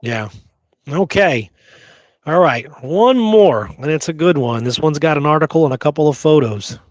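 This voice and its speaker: A man's voice: fairly high pitch, animated, moderate pace, no room sound. A man hosting a casual podcast, recounting history in a colloquial, emphatic way and often checking that the listener follows. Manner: colloquial, emphatic, punctuates points with check-in questions. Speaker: podcast host and history researcher.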